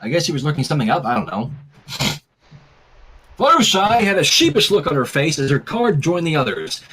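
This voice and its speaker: A man hosting a stream, reading fan fiction aloud in an animated style with casual asides. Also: a little high, brisk, slightly rough, poor audio.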